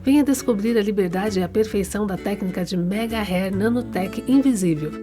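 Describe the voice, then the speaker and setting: A woman's voice: mid-range voice, a little fast. A woman reading a polished, upbeat commercial voice-over. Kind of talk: scripted commercial read. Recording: music bed behind.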